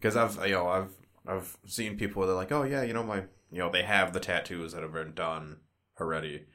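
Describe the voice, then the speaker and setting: A man's voice: deep voice, fairly even intonation, fairly quick, bright, forceful tone. A young man co-hosting a podcast, chatting in a laid-back way with frequent swearing and restarts. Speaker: podcast co-host. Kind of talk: casual chat.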